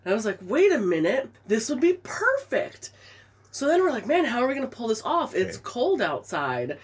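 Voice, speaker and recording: Animated woman with a stuffy head cold. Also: a little high, normal speed, husky. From Pacific Northwest. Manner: animated storytelling, laughing often. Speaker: podcast co-host. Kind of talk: casual chat.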